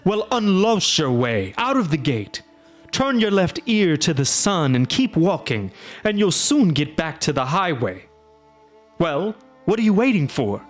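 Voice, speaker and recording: A man's voice: fairly high pitch, very animated, unhurried, soft background music, voice pushed up. A man voicing a huge, bear-headed male monster who roars threats and grumbles indignantly. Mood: blustering grumble. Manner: roaring, bluster turning to grumbling.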